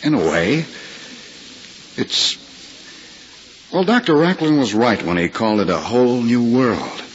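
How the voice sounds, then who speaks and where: An actor playing a gentle blind lawyer in love, speaking haltingly and reassuringly in a 1950s radio drama. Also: male voice, mid-range voice, sing-song. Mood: tender, reassuring. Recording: muffled, hissy 1950s radio recording.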